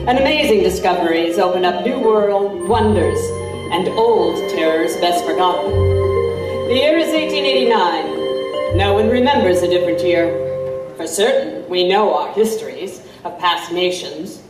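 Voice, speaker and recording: A woman playing an intrepid, wry newspaper reporter, narrating an audio drama in a measured, formal way. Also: fairly low pitch, natural voice. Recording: roomy, distant voice over music.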